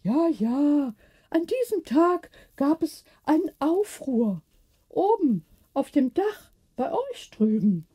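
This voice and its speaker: Little old lady neighbour for a storybook female narrator playing her, high-pitched, eager, gossipy. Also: very animated, slow pace, warm tone, exaggerated voice. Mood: excited.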